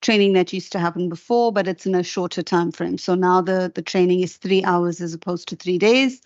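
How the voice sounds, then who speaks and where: A woman official explaining training at a committee hearing, halting with many fillers. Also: on the low side, no room sound, lo-fi sound. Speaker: department official answering a committee. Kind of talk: formal committee testimony.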